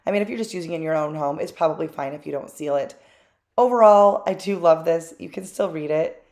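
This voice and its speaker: A woman's voice: sits low, quick pace, a little mellow. A woman hosting her own craft channel, narrating a voice-over in a chatty, candid way with plenty of fillers and asides.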